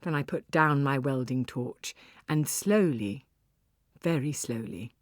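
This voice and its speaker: Audiobook lead: a wry, weary ex-police cafe owner, low-pitched and breathy, conversational first-person storytelling in a dry, close recording. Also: female voice, slow, no room sound, exaggerated voice. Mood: wry, reflective. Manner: expressive, conversational, storytelling with hushed asides. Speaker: audiobook narrator.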